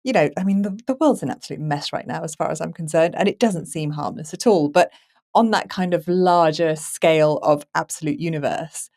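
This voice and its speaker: A woman with a slightly low voice in a relaxed podcast discussion. Her phrasing is loose and informal, as if working out her thoughts among friends. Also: brisk, creaky. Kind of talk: casual discussion.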